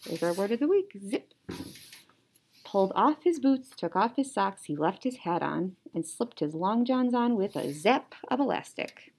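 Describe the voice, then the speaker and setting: A young woman reading a children's story like a teacher, animated, with playful sound words. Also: mid-range voice, moderate pace, creaky, breathy edge. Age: young adult. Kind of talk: children's story read-aloud. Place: small indoor classroom or office.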